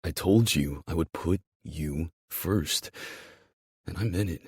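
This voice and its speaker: A man playing a protective, stubborn young athlete in an audiobook, earnest and emphatic with a husky voice. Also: low voice, takes it slowly, darkish tone, no echo, studio quality, heavily acted. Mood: tender. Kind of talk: audiobook character dialogue.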